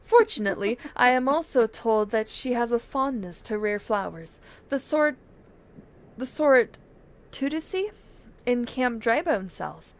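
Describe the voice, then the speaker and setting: A woman voicing a polite, scheming adventurer in a game with formal, measured delivery. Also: a little high, animated.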